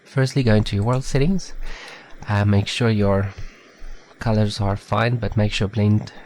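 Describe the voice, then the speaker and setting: A man with a slightly nasal, stuffed-up voice from a head cold, giving a relaxed, chatty step-by-step software tutorial. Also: fairly low pitch, unhurried, a little hiss or hum. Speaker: tutorial maker. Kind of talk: casual screen-recorded software tutorial.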